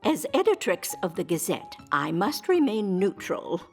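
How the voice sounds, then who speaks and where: A woman playing an eager, gossipy ghost newspaper editor in an audio drama, with a formal, deliberate manner. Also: medium pitch, sing-song, soft background music. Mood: deliberate, probing. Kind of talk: theatrical scripted audio drama.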